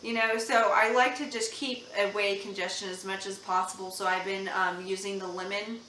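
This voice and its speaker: Chatty young mom in an echoey room. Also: female voice, mid-range voice, flat delivery, moderate pace, bright, forceful tone, a little hiss or hum. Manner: chatty, earnest, with many ums and restarts. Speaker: mom vlogger reviewing baby products. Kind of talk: casual product review chat. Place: home room. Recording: echoey room, slightly far from mic.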